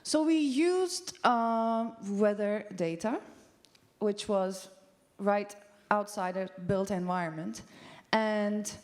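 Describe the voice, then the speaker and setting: A woman's voice: medium pitch, darkish tone. A woman in her thirties from Turkey giving a conference talk on stage, speaking casually with frequent ums and uhs. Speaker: studio co-founder giving a festival talk. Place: large festival venue stage.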